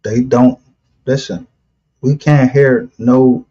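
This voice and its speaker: A man with a husky voice chatting casually on a livestream, blunt and profane, with street slang. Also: medium pitch, fairly even intonation, takes it slowly, dark tone. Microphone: streaming call. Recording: dull lo-fi stream audio.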